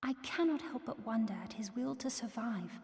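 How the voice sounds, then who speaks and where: A woman's voice: fairly high pitch, moderate pace, darkish tone, natural voice. An actress playing an earnest, analytical young noblewoman in a fantasy game, formal and articulate. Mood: pensive. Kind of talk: fantasy game cutscene acting. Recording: long echoing hall reverb.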